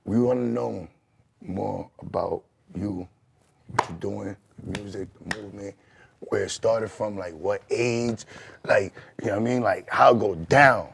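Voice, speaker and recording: A man's voice: on the low side, a little slow, creaky, background noise. A man hosting a casual hip hop interview, hyped and slangy, constantly asking "you know what I mean". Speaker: show host.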